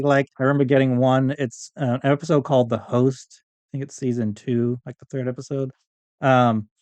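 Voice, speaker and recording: A man's voice: medium pitch, dry recording. A middle-aged man speaking as a podcast guest in a casual, rambling, reminiscing chat. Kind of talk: casual interview chat about fandom and collecting.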